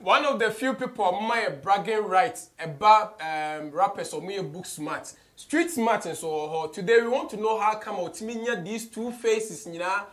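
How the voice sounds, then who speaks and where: Male Ghanaian interviewer, thirties, slightly high pitch, animated delivery, code-switching conversational style. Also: normal speed, hardly any pauses, soft background music. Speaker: show host. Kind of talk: conversational radio interview, mixing English and Twi. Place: small office studio, indoors.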